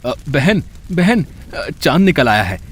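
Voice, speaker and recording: Man acting high-pitched, protective folktale brother. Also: big pitch swings, slow pace, slightly rough, full character voice. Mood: coaxing. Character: protective, scheming, worried adult brother in folktale.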